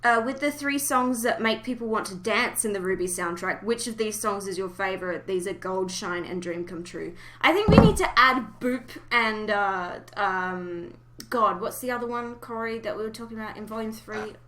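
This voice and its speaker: Bright-voiced woman hosting a casual podcast. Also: medium pitch, lively intonation, normal speed, a little hiss or hum. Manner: informal, chatty. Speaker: podcast host. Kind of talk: casual fan podcast chat.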